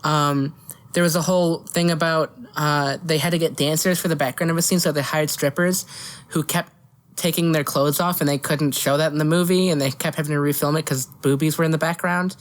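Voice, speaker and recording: Female podcast host, lower-pitched, blunt and sweary, casual conversational delivery. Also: fairly even intonation, brisk. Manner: blunt, profane. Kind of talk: casual chat about movies.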